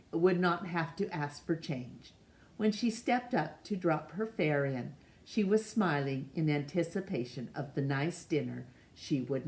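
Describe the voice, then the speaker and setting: A middle-aged woman reading a picture book aloud in a measured, expressive way for children. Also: deep voice. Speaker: storytime reader. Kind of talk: read-aloud storybook narration. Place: small room indoors.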